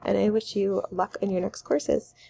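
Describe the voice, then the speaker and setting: A woman's voice: mid-range voice, flat delivery, normal speed, warm tone. A middle-aged woman professor giving recorded feedback on a student project, her voice scratchy from a cold, encouraging and full of "um"s and restarts. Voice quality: gravelly.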